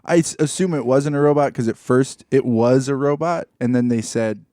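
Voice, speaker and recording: A middle-aged man with a mellow voice at an ordinary male pitch, recorded close and dry. He talks like a podcast co-host among friends, spinning everyday stories in casual speech with wry, mock-formal wording. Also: moderate pace, no echo. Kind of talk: casual chat and anecdote telling.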